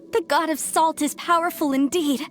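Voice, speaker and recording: A woman's voice: high voice, steady tone, moderate pace, faint music behind, natural voice. An actress voicing an earnest, idealistic young female archaeologist in a video game. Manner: earnest and easily stirred. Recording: game score playing faintly.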